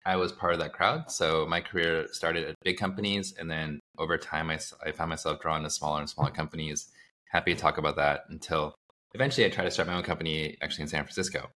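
Guest: thirties American man, very low but bright voice, loose rambling delivery. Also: quick pace. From United States. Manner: relaxed, rambling with many fillers and self-corrections. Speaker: startup founder, guest. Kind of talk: casual conversational interview.

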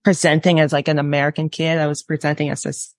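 A woman in her twenties sharing personal experience candidly as an interview guest, pausing to choose her words. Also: low-pitched, level tone, no room sound. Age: 20s.

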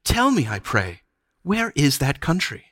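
A storybook witch queen, played by a male reader, soft and musical, pitched high. She coaxes and soothes in old courtly phrases, with mockery underneath. The sound is close and dry. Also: huge pitch swings, no echo, full character voice. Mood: mocking curiosity. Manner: archaic courtly speech, soothing, drawn-out. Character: sweetly coaxing, mocking witch queen of the underworld. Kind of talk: audiobook character voice.

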